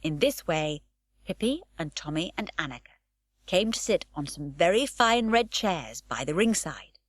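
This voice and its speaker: A woman narrating an unabridged children's audiobook, with expressive, varied storytelling. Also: fairly low pitch, measured pace, dry recording, clean studio sound.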